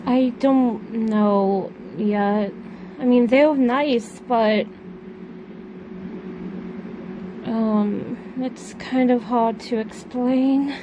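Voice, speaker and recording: High-voiced, slow, hesitant teenage daughter played by a woman. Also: fairly even intonation, muffled recording, noisy background. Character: teenage daughter, reserved, evasive, tired. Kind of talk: amateur audio drama acting, naturalistic.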